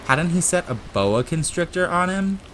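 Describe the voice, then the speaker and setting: A man delivers dramatic audiobook narration in a bright, forceful voice of medium pitch. Faint ambient effects sit behind the reading. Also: sing-song, normal speed, slight background noise. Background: ambient sound effects.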